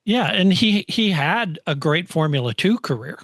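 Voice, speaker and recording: A man co-hosting a motorsport podcast in casual chat, often halting as he searches for words. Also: sits high, animated, fairly bright tone, no room sound, studio quality. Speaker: podcast co-host discussing motorsport.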